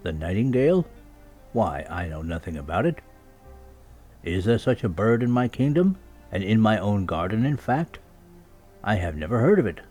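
A man's voice: on the low side, big pitch swings, moderate pace, long pauses, a little mellow, soft background music. A man playing a pompous, demanding emperor. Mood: puzzled, affronted. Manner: pompous, insistent. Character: imperious, demanding emperor, easily affronted.

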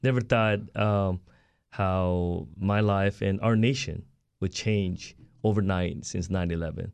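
A man's voice: low-pitched, fairly even intonation, takes it slowly, gravelly, darkish tone, smooth, no room sound. A middle-aged man from Southern California, born in South Korea, speaking politely and earnestly as an interview guest about himself. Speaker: guest, retired army chaplain. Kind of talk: interview, telling own story.

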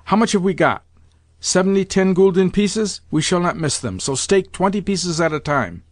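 A man's voice: fairly high pitch, lively intonation, moderate pace, no echo, studio quality. A man voicing an imperious, impatient old grandmother in an audiobook, full of commands and exclamations. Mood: feverish, insistent. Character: imperious, excitable old Russian grandmother addicted to roulette. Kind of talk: audiobook character voice.

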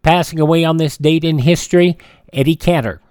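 A man's voice: fairly high pitch, fairly even intonation, darkish tone, clean tone, no echo. A man hosting a radio show, reading this-day-in-history items with chatty asides and frequent uh fillers. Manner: chatty, fills pauses with uh. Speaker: radio host.

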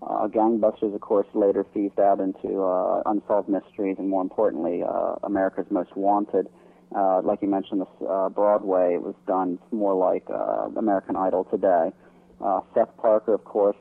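A man with a lowish voice talks as an interview guest. He explains in an informal way, and his voice comes through a narrow, tinny telephone line. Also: vocal fry.

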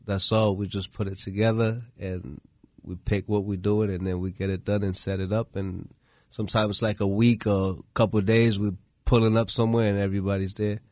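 A man from Haiti gives casual interview answers as a radio guest. His voice sits on the low side, and the studio sound is dry with little room around it. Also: flat delivery, fairly quick, darkish tone, no room sound. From Haiti, moved to the US at ten. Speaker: radio guest, food business owner.

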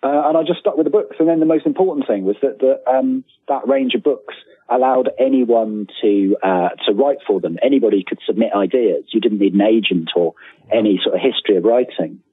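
A British man in his forties talks casually as an interview guest, with a husky edge to his voice. He rambles a little, and the call sounds narrow and phone-like, very dry. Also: mid-range voice, a little fast, no echo. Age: 40s. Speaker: guest author. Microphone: remote call.

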